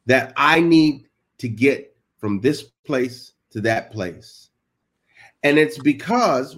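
Slow, animated preacherly male host. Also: medium pitch. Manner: emphatic, preacherly, frequent affirmations. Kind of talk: faith-based interview.

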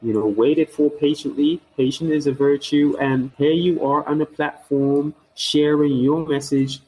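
A man's voice: fairly high pitch, steady tone, measured pace, husky, low-quality recording. A man hosting an inspirational interview show, talking in an effusive, praising way with many fillers. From Saint Martin. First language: English. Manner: warm, effusive, praising the guest. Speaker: show host. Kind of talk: radio-style interview talk.

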